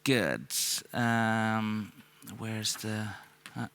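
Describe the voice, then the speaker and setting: A man's voice: fairly low pitch, drawn-out words, a bit bright, clean tone. A man in his thirties with a German accent, giving a conference talk on a large stage through a headset mic, with loose chat full of ums and restarts. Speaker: conference speaker. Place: large dark stage hall.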